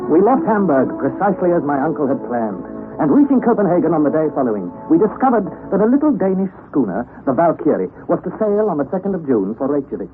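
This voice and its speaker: An actor playing a sensible young nephew in an old-time radio adventure, speaking in an articulate, earnest, theatrical way. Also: male voice, on the high side, sing-song, quick pace, music in the background. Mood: measured storytelling. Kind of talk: old-time radio drama acting and narration. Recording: tinny, noisy old radio broadcast recording.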